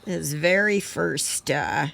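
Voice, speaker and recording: Low, slow-talking woman with creaky voice. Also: fairly bright tone. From Canada. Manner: unhurried, halting, thinking aloud. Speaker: craft vlogger. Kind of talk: casual rambling chat while stitching.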